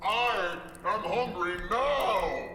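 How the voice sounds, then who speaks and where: Hungry storybook giant: very slow, booming, swinging delivery in a cavernous echo. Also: animated, bright, forceful tone, exaggerated voice. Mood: menacing, hungry. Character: hungry man-eating giant, master of a palace. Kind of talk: audio drama acting. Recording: very echoey hall reverb, music behind.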